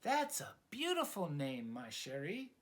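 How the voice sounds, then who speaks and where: A man voicing an earnest, lovestruck young male sea creature in a children's storybook, with an excitable, gushing, romantic delivery. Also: fairly high pitch, measured pace, exaggerated voice. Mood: smitten.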